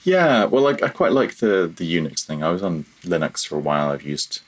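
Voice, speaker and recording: A man's voice: low voice, sing-song, a little fast. A man talking casually as a technical interview guest, feeling his way through his thoughts and rephrasing as he goes. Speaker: podcast guest, audio programmer.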